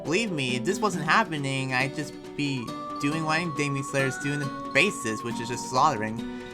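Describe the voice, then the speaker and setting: A man's voice: medium pitch, moderate pace, over music, natural voice. A man playing an earnest, troubled demon slayer in an improvised game roleplay, explaining himself in a hesitant, rambling way. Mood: worried, earnest.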